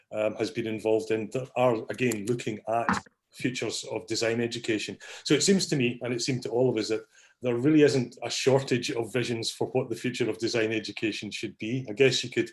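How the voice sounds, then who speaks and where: A man hosts an online meetup over a video call, at an ordinary pitch. His talk is loose and relaxed, full of ums and easy apologies. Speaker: meetup host. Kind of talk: casual online meeting talk.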